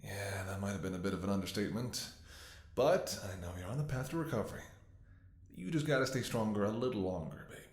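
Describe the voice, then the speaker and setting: Man acting as low-voiced tender, weary doctor, echoey. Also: fast talker, natural voice. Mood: tender, reassuring. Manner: tender, soothing, sighing. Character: weary, devoted male doctor tending an infected lover in apocalypse. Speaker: voice actor. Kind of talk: ASMR audio roleplay, intimate acting.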